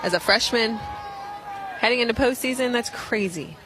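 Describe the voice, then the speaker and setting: A woman giving conversational color commentary as an analyst on a softball broadcast. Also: on the high side, moderate pace. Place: outdoor softball ballpark broadcast position. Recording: crowd noise and music behind broadcast. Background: ballpark crowd and music.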